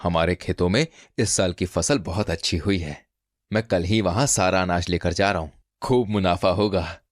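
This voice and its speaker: A man plays a profit-minded merchant from a cartoon village tale. He speaks in a deep, dry, close-sounding voice. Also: normal speed, dry recording, lightly acted. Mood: satisfied, scheming. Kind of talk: Hindi cartoon story character acting.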